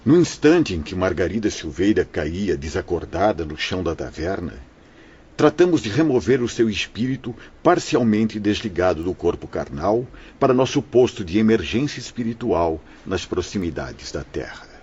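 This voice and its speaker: Ator como mentor espiritual grave e muito rouco. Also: male voice, low-pitched, very animated, a little mellow, husky, highs cut off, exaggerated voice. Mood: solemn, kindly. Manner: solemn, formal, measured. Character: wise, benevolent male spirit mentor narrating past events. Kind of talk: audio drama acting.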